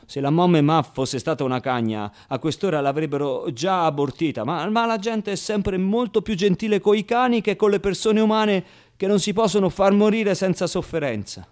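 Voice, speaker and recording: A man's voice: sits high, moderate pace, darkish tone, lightly acted. A man playing a streetwise, wry and wounded ten-year-old orphan boy in a theatrical radio monologue, talking in slangy, colloquial bursts and muttered asides. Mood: indignant, impassioned. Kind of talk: theatrical radio monologue, first-person storytelling.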